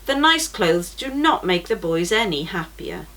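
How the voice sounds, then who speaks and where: A woman voicing a kind young woman in a storybook, gently but firmly correcting a child. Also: on the low side, very animated, measured pace, exaggerated voice. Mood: gentle. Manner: gentle, firm.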